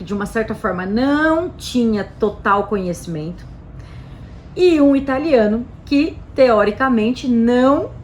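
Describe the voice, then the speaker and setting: Brazilian woman, mid-pitched, chatty explainer, light noise behind. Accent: Brazilian Portuguese. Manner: chatty, frequent "né" and "tá" tags, animated emphasis. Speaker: Italian citizenship specialist presenting a channel. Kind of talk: casual explanatory talk to camera.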